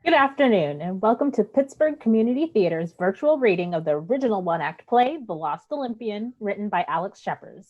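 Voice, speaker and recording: A woman's voice: medium pitch, lively intonation, a little fast, few pauses, a little mellow. A woman reading a scripted welcome as narrator of an online play reading, heard through dull stream audio.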